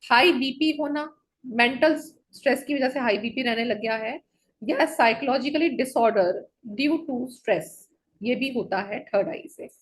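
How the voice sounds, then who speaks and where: A woman's voice: fairly high pitch, a little slow, rough recording. A woman teaching an online color therapy class, listing one item after another and checking in with her students.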